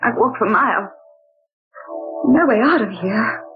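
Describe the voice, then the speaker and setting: An actress playing a genteel woman recounting a ghostly night in 1940s radio drama style, theatrical and breathy. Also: a little high, lively intonation, measured pace, over music. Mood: frightened. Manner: dramatic, exclaiming. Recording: tinny old radio broadcast.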